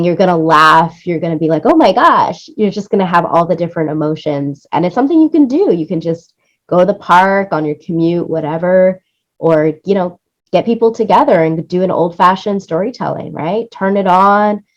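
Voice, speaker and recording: A woman's voice: fairly low pitch, normal speed, dull audio. A woman speaking as an interview guest in an enthusiastic, effusive way, often repeating phrases for emphasis. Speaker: interview guest, music supervisor and producer.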